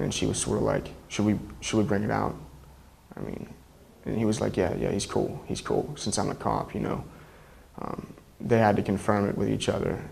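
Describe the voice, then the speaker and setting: A man's voice: fairly low pitch, gravelly, darkish tone. A young man giving an unscripted, informal and somewhat hesitant personal account in an interview. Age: young adult. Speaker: military policeman giving personal testimony. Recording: faint background noise and ambience.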